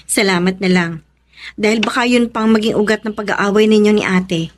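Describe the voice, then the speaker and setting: An actress playing a considerate, apologetic younger sister in a Filipino radio drama, speaking in a conciliatory way. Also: female voice, medium pitch, fairly even intonation, moderate pace, close to an everyday voice. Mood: apologetic, concerned. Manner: conciliatory, thoughtful.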